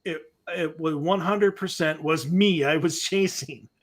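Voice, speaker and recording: A man co-hosting a casual paranormal podcast from home over a video call. He is playful, laughs easily, and reads listener stories aloud. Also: fairly high pitch. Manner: playful, quick to laugh, drifts into a sing-song voice. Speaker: podcast co-host. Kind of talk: casual chat, reading a listener story aloud. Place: room at home. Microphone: webcam call from home.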